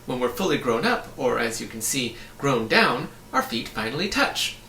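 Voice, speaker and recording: A man's voice: medium pitch, very animated, moderate pace, pushed and bright, roomy sound, far from the mic, a little hiss or hum. A man voicing a breezy, opinionated young boy in a storybook, explaining things in a chatty, matter-of-fact way. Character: breezy, opinionated young boy who floats in mid-air.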